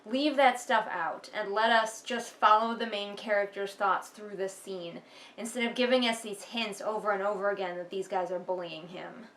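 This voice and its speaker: A woman's voice: medium pitch, steady tone, moderate pace, fairly bright tone, roomy sound, poor audio. A woman giving casual, mocking commentary as she reads along with a book, sarcastic and exasperated. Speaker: host of a book read-along.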